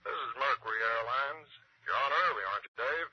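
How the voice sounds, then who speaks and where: An actor playing a brisk, alert airline radio dispatcher with a rough voice in 1960s radio drama. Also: male voice, mid-range voice, no room sound, natural voice. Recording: dull crackly old radio broadcast.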